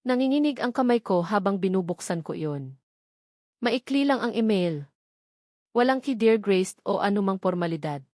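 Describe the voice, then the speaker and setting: A woman narrating a first-person love story in a smooth, even and heartfelt way, then thanking her listeners. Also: medium pitch, normal speed, no echo, clean studio sound.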